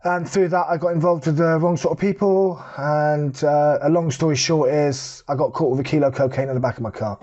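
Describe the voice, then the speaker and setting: A man's voice: on the high side, steady tone, brisk, few pauses, darkish tone, highs cut off. A middle-aged man giving a candid personal testimony in a plain-spoken, conversational way. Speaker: charity ambassador telling personal story.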